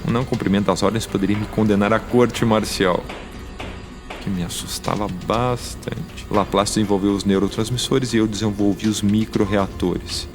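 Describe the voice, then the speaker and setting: An actor in an audio drama playing a male scientist with a mechanical arm, recounting his wartime past in formal, deliberate, wordy speech. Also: lowish voice, fairly even intonation, over music, lightly acted. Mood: earnest recollection.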